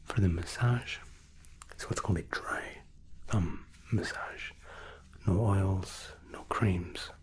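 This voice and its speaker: A man performing a whispered ASMR spa roleplay as a therapist, gentle, soothing and softly reassuring. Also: low-pitched, slow.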